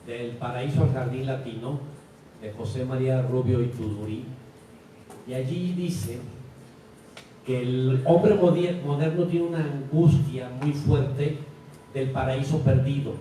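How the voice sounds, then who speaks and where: Dark-voiced thoughtful man in an echoey hall. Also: mid-range voice, slight background noise. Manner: thoughtful, quoting a book. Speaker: architect on a panel. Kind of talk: panel discussion. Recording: echoey hall picked up from far off.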